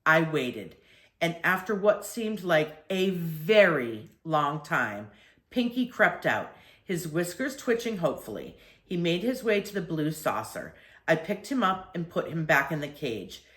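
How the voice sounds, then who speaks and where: An older woman reading a children's story aloud expressively, with deliberate phrasing and drawn-out emphasis. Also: sits low, sing-song, a bit bright, echoey room.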